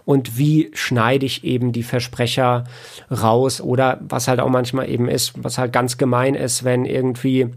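A man talking casually as an interview guest, explaining his work in a reflective, halting way with repeated words. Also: medium pitch, level tone, vocal fry. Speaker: podcast guest, audiobook editor and audio drama producer.

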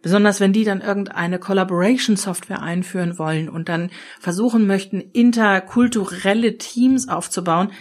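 A middle-aged German woman hosting a solo podcast, explaining ideas in a lively coaching manner and speaking straight to the listener. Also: medium pitch, moderate pace. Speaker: podcast host and change consultant.

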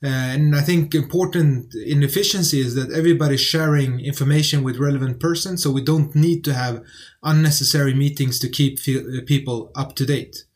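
A man from Sweden whose first languages are Syriac and Arabic, answering interview questions as a business development lead in a relaxed, friendly way with light humor. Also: mid-range voice, brisk.

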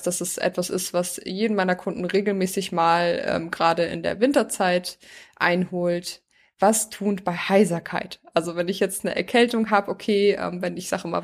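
A woman hosting a podcast, who chats casually and asks her guest questions with plenty of fillers. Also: lowish voice. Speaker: podcast host. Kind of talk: podcast interview.

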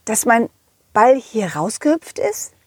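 A confident, kindly storyteller dog, played by a woman in a children's audio play. The voice is fairly high and husky with lively swings. It talks haltingly and chattily, straight to the children. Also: dry recording, clean studio sound, full character voice. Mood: earnest, kindly. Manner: chatty, empathetic, halting, addresses children directly.

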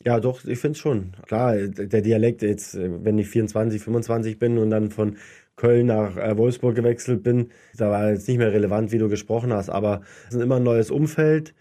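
A man's voice: lowish voice, fairly even intonation, normal speed, warm tone. A young man from southern Germany with a light Berlin accent, chatting casually in a colloquial, self-deprecating way as an interview guest. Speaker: guest, professional ice hockey player. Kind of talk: casual chat.

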